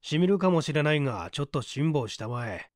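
Man playing a cool, composed gentleman sleuth. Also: on the high side, fairly quick, dry recording, studio quality. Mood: calm, controlled. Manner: calm, polite, clipped authority. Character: cool, composed gentleman detective, politely menacing.

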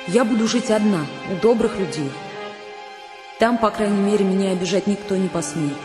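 A woman's voice: mid-range voice, moderate pace, lightly acted. An actress playing a wounded, defiant young daughter voicing her farewell letter in a radio drama. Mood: hurt, defiant. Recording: long hall reverb over music.

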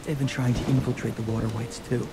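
An actor playing a cocky, smooth-talking young scoundrel in a video game, with a breezy, chummy manner. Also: male voice, medium pitch, flat delivery, quick pace, close to an everyday voice. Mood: earnest, confident. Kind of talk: video game character acting. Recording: music and rain behind the voice. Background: game music, rain.

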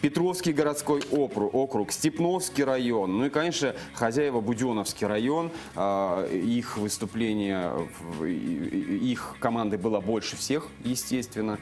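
Halting thirties male studio guest, lapel-miked, casual chat. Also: medium pitch, animated, a little mellow. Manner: halting, many fillers and restarts. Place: TV news studio. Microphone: lapel mic.

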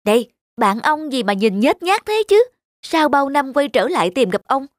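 A woman's voice: high-pitched, sing-song, normal speed, no echo, studio quality. A woman voicing a snobbish, suspicious wealthy wife in a cartoon. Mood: scornful. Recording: background music throughout.

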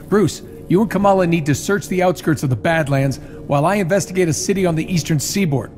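An actor playing a cocky, wisecracking armored superhero inventor in a video game, with an American accent and glib, sarcastic banter. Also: male voice, on the high side, lightly acted. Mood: businesslike. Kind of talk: cinematic video game voice acting. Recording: loud music behind the voice.

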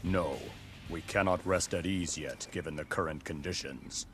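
An actor playing a stern, loyal older general in a video game, speaking in a measured, formal and grave manner. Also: male voice, rumbling low, faint music behind. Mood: grave gratitude. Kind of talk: dramatic game cutscene acting.

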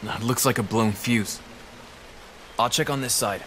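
An actor playing an earnest, determined young superhero sidekick in a video game, speaking in a terse, urgent, practical way. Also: male voice, mid-range voice, normal speed, a bit bright, close to an everyday voice. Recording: clear background noise.

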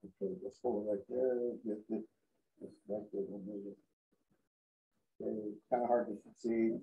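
A man's voice: lowish voice, little pitch movement, a little slow, phone-quality sound. A man hosting a woodworking show, explaining step by step as he works in the shop. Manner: explains step by step while working. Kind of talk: casual workshop demonstration. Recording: echoey workshop.

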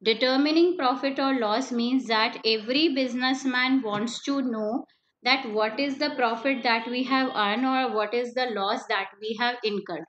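A woman's voice: a little high, moderate pace, a bit bright. Woman teacher on a dull online call. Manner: explains step by step, repeats key points, asks "right?". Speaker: accountancy teacher. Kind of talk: online class lecture. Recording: slightly dull lo-fi online class audio with faint noise.

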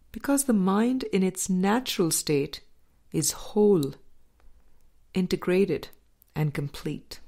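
A woman narrates a spiritual audiobook in a slightly low voice. She reads slowly, setting out each phrase deliberately and leaving measured pauses between them. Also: dark tone. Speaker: audiobook reader.

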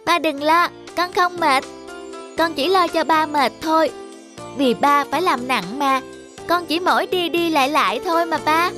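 A sweet little cartoon girl , acted by a woman, with an extremely high voice. She sounds eager and caring, and music plays clearly behind her. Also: a little slow, bright, forceful tone. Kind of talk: cartoon dubbing.

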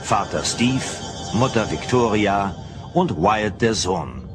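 Guy narrating a sci-fi radio drama, lowish voice, really loud music under him. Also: animated, slow.

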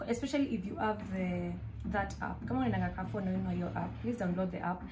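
A young woman with a Kenyan accent talks through a shopping haul in her home kitchen. She sounds chatty and animated, close to the camera, with steady noise under her voice. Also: medium pitch, quick pace. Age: young adult. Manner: chatty and animated, mixing English with Swahili. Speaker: vlogger. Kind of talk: casual shopping haul chat. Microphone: camera in front at close range.

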